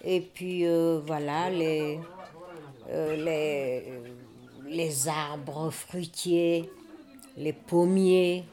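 An older woman from Senegal, slowly recalling her life in an interview. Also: low voice, mellow. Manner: slow, reflective storytelling.

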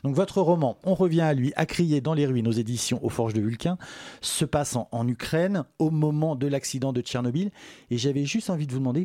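A man's voice: medium pitch, a little fast, warm tone, no room sound, clean studio sound. A man interviewing an author in a casual way, often stumbling and repeating words as they go. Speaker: literary interviewer.

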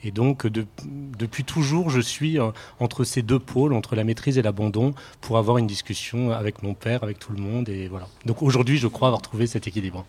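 A man's voice: medium pitch, fairly quick, few pauses, a little mellow, slightly rough. A man, a lawyer and writer, explaining his own work as a guest in a panel discussion.

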